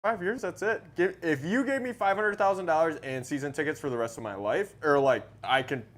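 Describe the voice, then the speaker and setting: A man commentating on a live game in casual, excitable, sweary sports banter. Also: fairly high pitch, a little fast.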